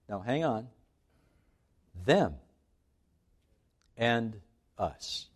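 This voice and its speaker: A man's voice: mid-range voice, darkish tone. Earnest Scottish-born preacher, man. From Scotland. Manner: earnest, emphatic, with slowed phrases for stress. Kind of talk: sermon.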